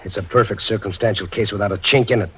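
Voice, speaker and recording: A man's voice: on the low side, fairly even intonation, fast talker, natural voice. A man playing a desperate, hard-boiled husband on the run in old-time radio crime drama, terse, slangy and fatalistic. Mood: cornered, confessing. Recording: muffled, faintly noisy vintage radio recording.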